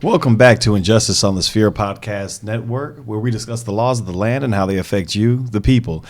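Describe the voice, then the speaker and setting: A man's voice: on the low side, fairly quick, no room sound. A man hosting a legal discussion podcast, talking conversationally with frequent fillers and restarts. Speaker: podcast host.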